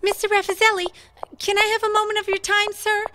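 An actress playing a young, eager would-be starlet with a plaintive, gushing manner. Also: female voice, very high-pitched, level tone, a little fast, bright, forceful tone, a little hiss or hum.

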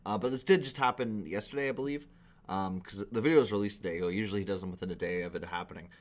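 A man's voice: on the low side, racing. A young man with a Canadian accent giving casual, exasperated, sweary commentary as a reaction video host. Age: young adult. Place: small indoor room at a computer.

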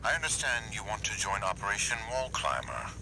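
An actor playing a haughty elite corporate officer in a video game, pompous, precise and condescending. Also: male voice, fairly low pitch, big pitch swings, brisk, creaky, background noise. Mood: smug contempt. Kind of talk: scripted video game cutscene dialogue.

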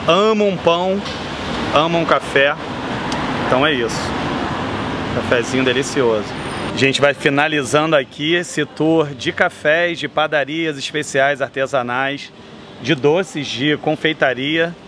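Upbeat Brazilian man in his 30s, casual vlog host, handheld cam outdoors with loud street noise. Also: a little high, measured pace. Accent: Brazilian Portuguese. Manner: enthusiastic, chatty, lists things off. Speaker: travel vlogger. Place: city sidewalk and café terrace outdoors. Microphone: handheld camera at arm's length.

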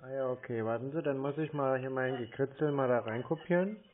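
A man in a casual phone conversation, muttering along slowly as if fumbling with a task. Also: medium pitch. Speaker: scambaiter taking a scam call. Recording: narrow phone-like sound, faint clicky noise.

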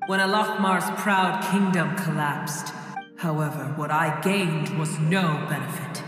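A woman's voice: low voice, a little slow, a bit bright. Ancient female dragon, weary and embittered, grave formal delivery, game boss in huge echoing space with music. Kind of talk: video game dramatic dialogue. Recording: cavernous long reverb over music.

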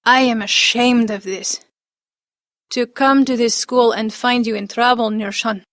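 A woman voicing a stern, angry immigrant father who speaks severely and slowly. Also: on the high side, steady tone, a bit bright, dry recording, studio quality. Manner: severe and slow.

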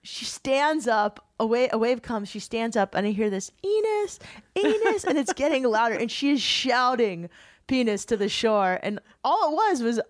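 A woman's voice: fairly high pitch, normal speed, no room sound, clean studio sound. Chatty woman podcast host. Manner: lively storyteller, animated, chatty. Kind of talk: casual interview chat.

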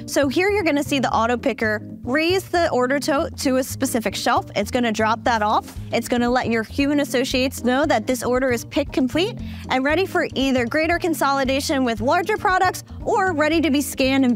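A young woman presenting a product demo on a warehouse floor, with a polished, upbeat sales delivery. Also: sits high. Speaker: company presenter. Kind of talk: scripted product demo tour.

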